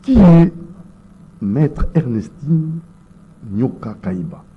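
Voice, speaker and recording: A man, hosting an interview and prompting his guest with quick follow-up questions. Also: medium pitch, sing-song, breathy edge. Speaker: interviewer, host. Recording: muffled lo-fi sound.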